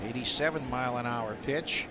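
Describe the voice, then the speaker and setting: A man's voice: mid-range voice, fairly quick. A man doing live radio baseball play-by-play in short, clipped, descriptive phrases. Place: outdoor ballpark broadcast booth. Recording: loud stadium noise behind the voice.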